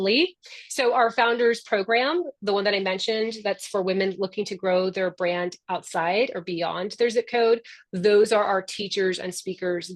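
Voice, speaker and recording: A woman's voice: mid-range voice, no echo. Upbeat chatty founder lady, spanish first language, middle-aged, talking over a video call, dry close sound. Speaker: founder hosting a member orientation. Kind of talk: live online orientation talk.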